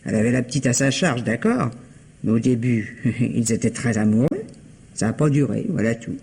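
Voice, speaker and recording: A woman's voice: very deep voice, normal speed, creaky, warm tone, breathy edge, full character voice. A woman acting as a curt, grudging housekeeper in a French radio mystery, brusque and colloquial. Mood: grudging, gossipy. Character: gruff, curt housekeeper, grudging and plain-spoken.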